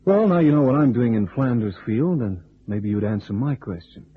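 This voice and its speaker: An actor playing a wisecracking, cool American test pilot with a laconic manner in a radio detective drama. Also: male voice, mid-range voice, lively intonation, normal speed, husky. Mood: teasing, flirtatious. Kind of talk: old-time radio detective drama acting. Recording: muffled, narrow old radio recording.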